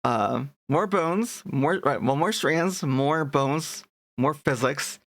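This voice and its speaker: Gravelly higher-voiced young man streaming. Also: takes it slowly. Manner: rambling, restarts sentences, greets chat warmly. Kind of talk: casual live-stream chat.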